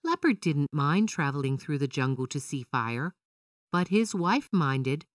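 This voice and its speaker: A woman's voice: deep voice, very animated, measured pace, no echo, studio quality, distant mic. A woman storyteller reading a scripted children's story as narrator.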